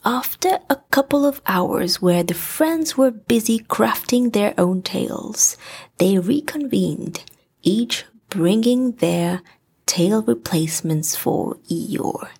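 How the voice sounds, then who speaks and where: A woman's voice: medium pitch, animated, takes it slowly, darkish tone, breathy edge. A woman storyteller reading a scripted bedtime story.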